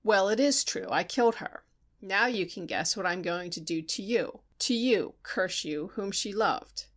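A woman's voice: medium pitch, lively intonation, unhurried. A woman voicing a heavy, cold, menacing older foreign man who speaks in clipped threats.

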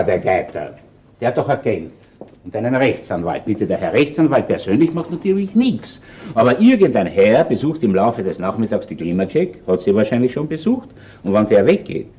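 Shrewd male police inspector, Austrian accent, low mellow voice, unhurried, wry, radio crime drama. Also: highs cut off. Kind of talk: crime radio play acting.

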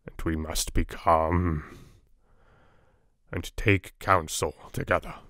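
A man voicing a calm elderly professor, speaking in a hushed, slow, measured way. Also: low voice, dry recording, clean studio sound, voice pushed down, heavily acted. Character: calm elderly male professor, soothing and grave.

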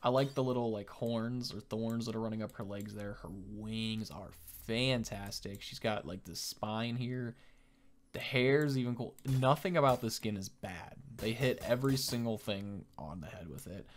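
A man's voice: fairly low pitch, normal speed. A man in his thirties giving casual, enthusiastic, informal gameplay commentary as a video creator. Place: small indoor room at home, on webcam.

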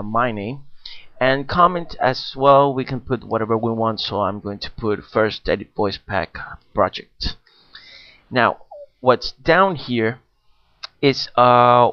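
Man, tutorial narrator, mid-pitched voice, plain explanatory delivery, muffled lo-fi recording. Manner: plain explanatory, frequent uh fillers. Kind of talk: screen-recorded software walkthrough.

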